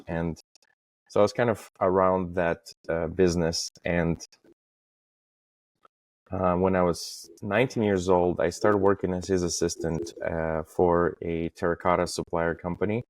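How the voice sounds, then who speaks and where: Low-voiced man, very even in pitch. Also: dry recording. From Estonia. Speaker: podcast guest, facade industry professional. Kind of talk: casual interview, recounting career.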